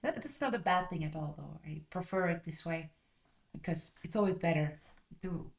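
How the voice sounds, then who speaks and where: A woman from Belgium talks through a book in a loose, casual review, her voice sitting a little low. She restarts and corrects herself often and drifts into tangents, and her manner is blunt and direct. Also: moderate pace, vocal fry. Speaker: channel host reviewing a book.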